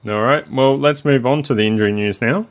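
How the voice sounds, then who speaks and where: A man with a croaky voice, hosting a casual sports podcast in a relaxed way with frequent ums. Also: mid-range voice, brisk, very noisy. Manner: relaxed, leads the segments, many ums. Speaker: podcast host.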